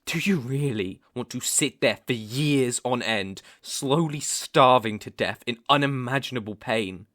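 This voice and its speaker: A man acts out a young British vampire hunter in an intimate ASMR roleplay. He is stern and menacing with a reluctant protective streak. His delivery is slow and deliberate, broken by sighs and pauses, at an average male pitch. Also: fairly bright tone, close to an everyday voice. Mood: stern, insistent.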